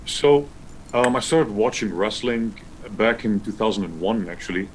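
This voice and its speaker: A man from Lebanon, a pro wrestler and wrestling school founder, answering interview questions in an easygoing, steady way. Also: fairly low pitch, moderate pace, a little hiss or hum, rough recording.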